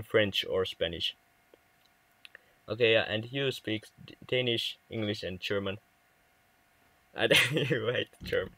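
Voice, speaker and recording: A man's voice: on the low side, a bit bright, dry recording, poor audio. Young Finnish-accented man, dry close sound. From Finland. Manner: halting, restarts words often, chatty. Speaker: livestream gamer. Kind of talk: casual livestream chat with viewers.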